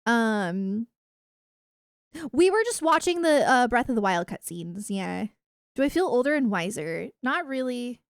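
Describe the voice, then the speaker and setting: A woman's voice: on the high side, very animated, a little slow, clean tone, no echo, studio quality. A woman livestreamer chatting casually with her viewers in an enthusiastic, emphatic gamer way. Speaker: video game livestreamer.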